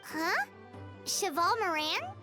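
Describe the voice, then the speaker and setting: A tiny cheeky fairy sidekick in a video game. It sounds squeaky and childlike, extremely high, with drawn-out words. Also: female voice, very animated, pushed and bright, soft background music, exaggerated voice. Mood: cheeky, doubtful. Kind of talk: video game character acting.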